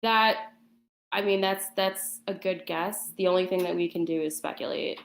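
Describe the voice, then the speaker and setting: A woman with a fairly low voice tells her story casually, like a guest in conversation. Her phrasing is somewhat halting and hesitant. The sound is noticeably roomy, with clear echo around her voice. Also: fairly even intonation, a little hiss or hum. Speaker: guest telling a personal account. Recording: roomy, echoing voice chat.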